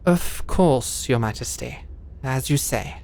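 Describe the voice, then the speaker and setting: A woman playing a composed, dutiful lady knight in a fantasy audio drama, speaking in a formal, measured, deferential way. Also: rumbling low, expressive, vocal fry, a little mellow, a little hiss or hum, exaggerated voice. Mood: guarded, deferential.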